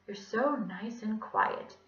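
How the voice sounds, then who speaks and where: A woman puts on a courteous Scottish gentleman for a storybook villain. The voice is smooth, measured and falsely sweet, recorded in an echoey room. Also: mid-range voice, distant mic, lo-fi sound. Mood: falsely pleasant. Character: suave villain faking a pleasant gentleman's soft voice.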